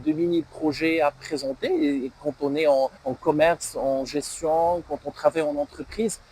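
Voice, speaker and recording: Guy in an interview, bit husky, lots of ums, fairly high voice. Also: darkish tone, poor audio. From Morocco. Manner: hesitant, many filler sounds. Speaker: guest, French teacher and banker.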